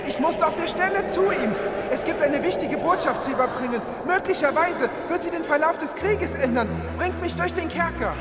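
Woman as high, earnest young royal messenger. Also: moderate pace. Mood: urgent. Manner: earnest, urgent, dramatic. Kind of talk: audio drama acting. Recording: reverberant hall, music behind.

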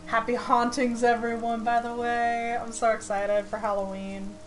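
A woman's voice: on the high side, level tone, normal speed, faint music behind, low-quality recording. A woman chatting casually and expressively as she plays a game on a stream. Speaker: gaming streamer. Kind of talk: casual co-op let's play chat.

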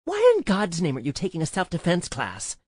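A woman's voice: on the low side, huge pitch swings, fairly quick, a little mellow, slightly rough, no echo, clean studio sound, heavily acted. Audiobook role: woman as snobbish, bitter young woman, scornful and snappish, swooping intonation. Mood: accusing.

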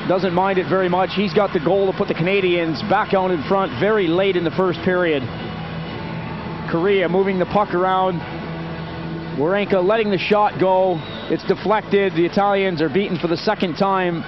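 A man from the United States talks through hockey replays in a brisk, analytical way. His voice sits well up in pitch, and the broadcast sound is dull, with music clearly heard behind him. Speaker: color commentator. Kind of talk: sports commentary. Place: commentary booth at an ice hockey arena.

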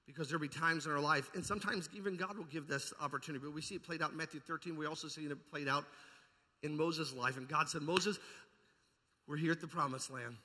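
A man's voice: on the high side, steady tone, fast, sharp tone. A man preaching a fervent, emphatic charismatic sermon as a pastor, repeating phrases and putting questions to his congregation. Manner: puts rhetorical questions to the congregation. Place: large indoor church hall. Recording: long hall reverb, amplified church sound.

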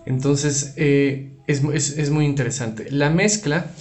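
A man's voice: fairly high pitch, fairly even intonation, takes it slowly. Man teaching guitar effects in a roomy space. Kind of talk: informal product tutorial. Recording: roomy, reverberant room sound. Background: electric guitar playing.

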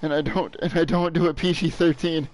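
A man's voice: a little high, steady tone, fairly quick, darkish tone, rough recording. A young man playing a video game on stream, chatting crudely and joking with viewers, laughing often. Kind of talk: casual gameplay commentary.

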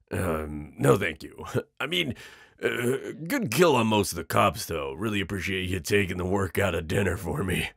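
A man playing a cocky, sarcastic werewolf outlaw in an audio roleplay, mocking and flippant with gravelly, hesitant asides. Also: low voice, fairly bright tone, no room sound, studio quality, full character voice. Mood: flippant, uneasy.

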